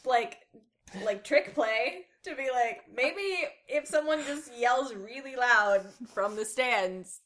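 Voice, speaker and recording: Bright-voiced woman chatting, amused. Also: on the high side, slow pace. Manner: chatty, amused reactions, laughing. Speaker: co-host. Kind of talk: casual chat.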